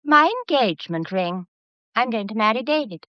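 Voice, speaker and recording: A woman's voice: on the high side, animated, moderate pace, dry recording, highs cut off, close to an everyday voice. An actress playing a genteel, self-possessed young woman in a period mystery drama, polite and questioning. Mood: composed, defensive. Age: young adult. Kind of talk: period radio drama acting.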